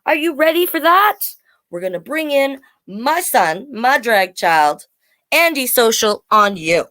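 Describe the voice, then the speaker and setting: Animated storytime host, woman's voice, swooping pitch. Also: unhurried. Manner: animated, conversational, asks listeners questions. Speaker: storytime host, drag performer. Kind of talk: chatty live storytime hosting for children.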